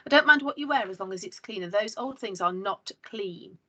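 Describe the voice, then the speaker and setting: A woman voicing a fussy older Irish grandmother who is gentle but firm and tends to scold. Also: mid-range voice, lively intonation, fairly quick. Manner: scolding, bossy, fretful.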